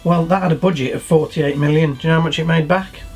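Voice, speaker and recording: A man with a slightly high voice co-hosts a casual discussion. He is matter-of-fact and opinionated, with faint music behind him. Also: steady tone, quick pace, a little mellow, breathy edge. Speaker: show co-host.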